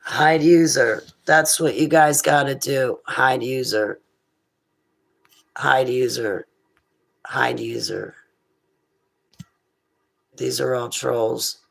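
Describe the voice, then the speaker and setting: A woman with a raspy voice chats on her live stream in a loose, wandering way. She takes her time over each thought. Her manner is unhurried and conversational. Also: a bass voice, steady tone. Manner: rambling, faith-filled musings. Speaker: internet radio host. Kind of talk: casual livestream chat.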